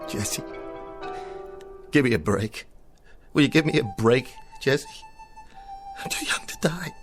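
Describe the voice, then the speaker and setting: An actor playing a vain, panicky television cowboy star who babbles theatrically. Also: male voice, medium pitch, lively intonation, slow pace. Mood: pleading. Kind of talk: radio drama acting. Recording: radio drama with music behind.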